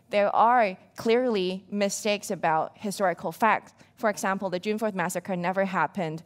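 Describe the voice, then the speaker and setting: Brief: young woman activist, lowish pitch, steady earnest delivery, seated on a dark stage. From Hong Kong. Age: young adult. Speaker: panelist, activist. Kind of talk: prepared panel speech.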